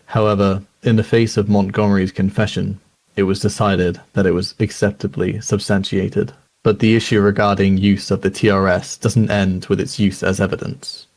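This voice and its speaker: A man playing a sober news reader, narrating a crime documentary in a measured, grave and formal way. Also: lowish voice, little pitch movement, a little mellow.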